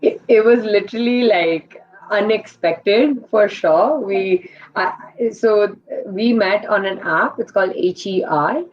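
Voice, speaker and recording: A woman's voice: medium pitch, slow, faint music behind. A young woman from India raised in Qatar, telling her story in a chatty, giggly way with many fillers. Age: young adult. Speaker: interview guest. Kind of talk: casual personal storytelling. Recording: dull lo-fi audio.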